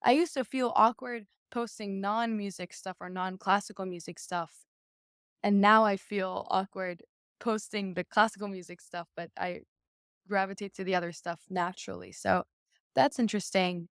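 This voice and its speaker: A woman's voice: mid-range voice, fairly even intonation, moderate pace, clear, resonant tone, no room sound, studio quality. A young adult woman whose first language is Persian, speaking as a podcast guest in a candid, chatty way with frequent fillers. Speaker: interview guest, violinist. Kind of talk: casual podcast conversation.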